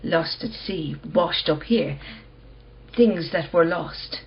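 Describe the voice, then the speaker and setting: An actress playing a cool, enigmatic dealer in lost art treasures in a radio play, speaking in a terse, controlled way. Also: female voice, on the low side, slow, dull audio.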